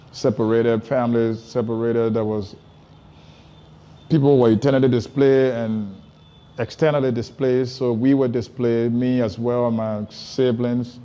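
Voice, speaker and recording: A man's voice: mid-range voice, dark tone, muffled recording. A man from Liberia with a Liberian accent, telling his story casually as an interview guest, repeating and rephrasing for emphasis.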